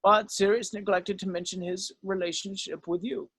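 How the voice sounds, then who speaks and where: Man playing a grave old wizard headmaster, high-pitched. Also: fairly even intonation, fairly bright tone, slightly rough, dry recording. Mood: solemn, explaining. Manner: measured, solemn, explanatory. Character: grave, weary old male wizard headmaster, gently remorseful. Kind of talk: dramatic script read-through.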